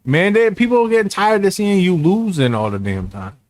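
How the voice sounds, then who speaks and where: A man's voice: high voice, animated, brisk, a little mellow. A man in his thirties, a streamer reacting to a video in casual, mocking, slangy banter. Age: 30s.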